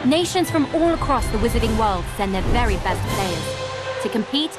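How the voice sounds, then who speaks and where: A woman's voice: on the high side, big pitch swings, moderate pace. A woman playing a grand tournament announcer in a wizarding video game, with declamatory scripted narration. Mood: grand, inviting. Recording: loud music bed. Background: loud game music.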